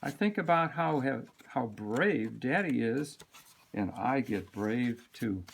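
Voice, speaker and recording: A man reading a children's picture book aloud with expressive, dramatic emphasis. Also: medium pitch, slow pace, gravelly, a little hiss or hum. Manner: animated, dramatic delivery with sound-word emphasis. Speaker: storybook reader.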